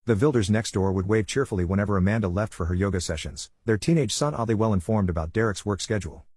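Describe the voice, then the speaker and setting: Fast-talking man with a low, husky voice. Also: darkish tone, no echo, clean studio sound. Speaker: story narrator. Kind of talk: scripted narration of a drama story.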